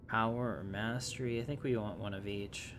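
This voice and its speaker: A man's voice: fairly low pitch, steady tone, normal speed, over music. A man giving casual, easygoing live gameplay commentary, thinking aloud as he plays. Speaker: gaming streamer.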